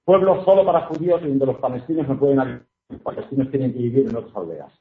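A man's voice: medium pitch, quick pace. A man from Spain working as a consecutive interpreter, paraphrasing each phrase into Spanish with frequent hesitations. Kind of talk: consecutive interpreting at a book presentation. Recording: echoey hall, tinny phone-like sound.

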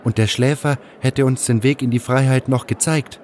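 A man's voice: mid-range voice, fairly even intonation, moderate pace, darkish tone, a little hiss or hum, pitched above the performer's own voice, close to an everyday voice. A man playing an earnest, thoughtful cult disciple talking with a friend in a fantasy audio drama. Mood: earnest, hopeful.

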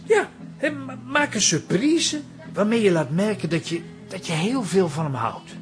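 A woman's voice: mid-range voice, moderate pace, over music, lightly acted. An actress with a Netherlands Dutch accent playing a frank, teasing female friend in a radio drama, direct in her way of talking. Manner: direct, animated.

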